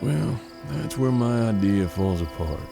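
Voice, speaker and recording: A man playing a seasoned, pragmatic bounty hunter in a western audio drama, with measured, folksy frontier talk. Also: medium pitch, expressive, vocal fry, over music. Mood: intent, businesslike. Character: seasoned male bounty hunter detective of 1870s Kansas, steady, pragmatic.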